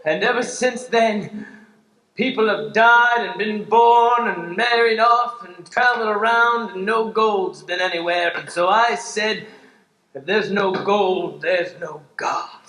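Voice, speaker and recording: Man as a very high-voiced, shifty Western mayor. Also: slow, pushed and bright, heavily acted. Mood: grave. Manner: melodramatic, exclamatory. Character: two-faced, pleading mayor of a Western town, male. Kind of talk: improvised Western radio play before an audience. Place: live improv stage with audience. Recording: echoey live stage.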